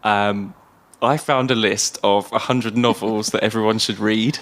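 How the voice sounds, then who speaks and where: A man's voice: fairly low pitch, fairly even intonation, a little slow, a bit bright. Man chatting casually, often chuckling. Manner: chuckles often while talking. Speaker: podcast co-host. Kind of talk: casual chat about films and books.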